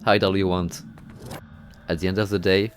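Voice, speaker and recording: A man's voice: deep voice, little pitch movement, moderate pace, a little hiss or hum. A man narrating a horror game playthrough from a script, moving between dramatic storytelling and wry, exasperated asides. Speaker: video game reviewer and narrator.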